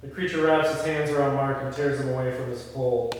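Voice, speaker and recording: A man's voice: mid-range voice, steady tone, fast. A man leading a script table read, reading stage directions aloud and talking casually. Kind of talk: scripted narration of screenplay, with casual discussion. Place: reverberant room at a group table read. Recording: echoey hall, distant mic, faint noise.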